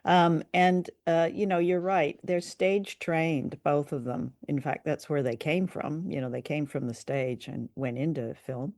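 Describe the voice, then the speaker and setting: A woman's voice: sits low, measured pace, hardly any pauses, darkish tone. A woman hosting a podcast in a casual, conversational review style. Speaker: podcast host.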